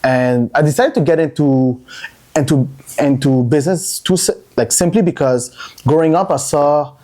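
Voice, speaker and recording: A man's voice: on the high side, normal speed, dark tone. A man from Montreal, a podcast guest and entrepreneur, telling his story in a chatty, looping way that repeats words. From Montreal, Canada. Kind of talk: casual podcast interview.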